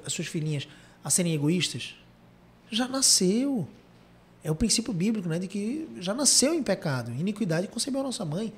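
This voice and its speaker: Brazilian accent, podcast host, rapid talk, rhetorical questions, mid pitch. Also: a little hiss or hum. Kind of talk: podcast discussion.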